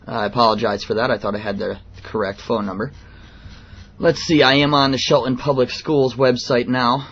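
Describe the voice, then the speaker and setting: A man hosting a radio show, giving opinions in a halting, blunt, indignant monologue. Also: medium pitch, steady tone, a bit bright, dull audio, a little hiss or hum.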